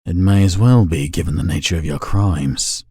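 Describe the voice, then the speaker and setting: A man's voice: deep voice, moderate pace, mellow, slightly rough, no echo, studio quality. A man playing a haughty, accusing council member in a fantasy audio drama, formal and theatrical like a prosecutor.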